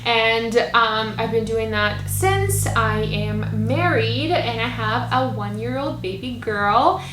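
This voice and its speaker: A woman in her late twenties chats casually as a podcast co-host, full of likes and you knows. Her voice sits a bit high. The room is echoey, and a faint hum runs underneath. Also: expressive, slow pace. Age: 20s. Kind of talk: casual chat. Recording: roomy echo, faint background hum.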